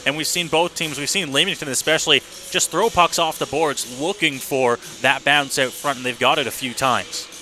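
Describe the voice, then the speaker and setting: Guy doing hockey play-by-play on radio, really bright forceful voice, bit of music behind him. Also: mid-range voice, fairly even intonation, normal speed. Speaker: play-by-play announcer. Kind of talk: live hockey radio commentary.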